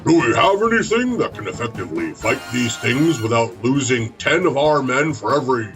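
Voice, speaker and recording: A man plays an impatient wartime President in an audio drama. He is curt and authoritative, with extremely animated pitch over soft music. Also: brisk, natural voice. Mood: stern, impatient. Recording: music behind the voice.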